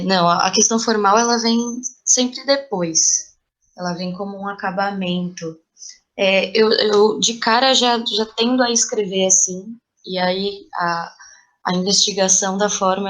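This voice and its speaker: A woman's voice: mid-range voice, fairly even intonation, moderate pace, fairly bright tone, rough recording. A woman with a Brazilian Portuguese accent, answering in a reflective interview as a playwright, thoughtful and explanatory, searching for words.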